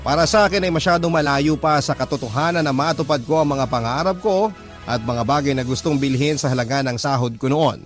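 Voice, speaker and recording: A man voices a hardworking guy telling his life story for radio drama. His voice is fairly high for a man, earnest and polite, with clear music playing underneath. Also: fairly quick, lightly acted. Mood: earnest, proud. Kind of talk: dramatised first-person narration for radio.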